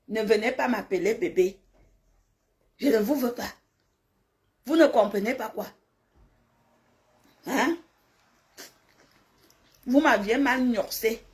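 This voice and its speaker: A woman speaks to viewers on a live stream in a fairly high voice. She takes her time, drawing her points out slowly. Her delivery is blunt and scolding, and she repeats phrases for emphasis. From francophone Africa, grew up in a village. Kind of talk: casual live stream chat, replying to viewers' comments.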